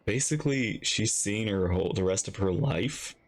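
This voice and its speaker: A man's voice: on the low side, lively intonation, normal speed, noisy background. A man giving casual reaction commentary as the host of a video.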